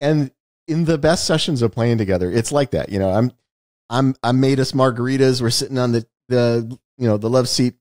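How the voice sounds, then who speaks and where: A man's voice: medium pitch, a little fast, darkish tone, no room sound. A man with a mid-range voice chats casually as a podcast co-host about films. The sound is dry and close, and he tells things like an informal storyteller, slipping into little acted-out quotes. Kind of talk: casual film discussion chat.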